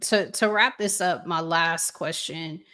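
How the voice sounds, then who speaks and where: A woman hosting a casual interview chat, putting questions to her guest with deliberate, inquiring phrasing. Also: on the low side, bright, forceful tone. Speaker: show host.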